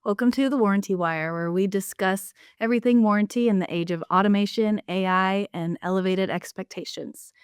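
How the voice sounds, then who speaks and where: A woman's voice: mid-range voice, a little fast, few pauses, clean tone, no room sound, clean studio sound. A woman hosting a business podcast discussion in a polished, upbeat corporate manner. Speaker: podcast host.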